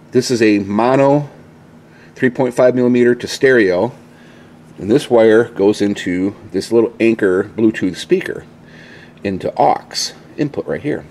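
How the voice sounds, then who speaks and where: A man's voice: fairly low pitch, a little mellow, a little hiss or hum. A man hosting a casual how-to vlog, explaining things step by step in an informal, mildly salty way, recorded on a handheld camera in a garage. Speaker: DIY video host. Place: inside a home garage, at a pickup truck. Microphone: handheld camera, held by the speaker.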